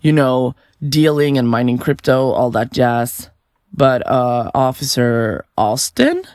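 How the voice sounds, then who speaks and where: Female serial-killer podcaster, low-pitched for a woman, swooping intonation, flippant, self-absorbed, chatty, audio drama, dry close sound. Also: lively intonation, slow pace, darkish tone, dry recording, exaggerated voice. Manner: blithe, chatty, flippant, theatrical. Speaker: suspect under interrogation.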